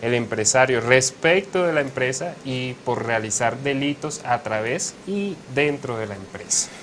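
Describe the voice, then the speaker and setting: A young law professor with a Colombian Caribbean coast accent speaks in a measured, explanatory way and often fills his pauses. His voice sits at a middle pitch. Faint ambient noise hangs behind him. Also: lively intonation, a bit bright. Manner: measured, with frequent hesitations and fillers. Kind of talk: academic interview explanation.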